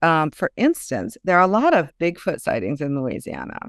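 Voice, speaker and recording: Smooth-voiced woman, chatty author in an interview. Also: low voice, huge pitch swings, normal speed, no echo, clean studio sound. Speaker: guest author and ghostwriter. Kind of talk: casual conversational interview.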